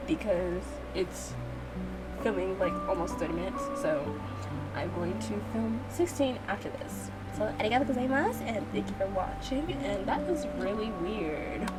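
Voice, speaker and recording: A woman's voice: medium pitch, expressive, normal speed, loud music behind the voice, low-quality recording. A woman hosting a casual let's-play, chatting informally as she reacts to a story game. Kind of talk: casual gameplay reaction, reading dialogue aloud.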